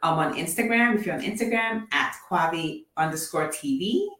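A woman in her forties from Nigeria, telling her story as a guest in a casual interview, with animated, lively delivery. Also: fairly low pitch, normal speed, echoey room, recorded from a distance. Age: 40s.

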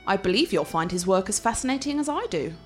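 Casting: actress as confident, cultured young fiancée, swooping lively intonation, medium pitch, articulate and teasing, radio play over music. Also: female voice, fast, close to an everyday voice. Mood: enthusiastic.

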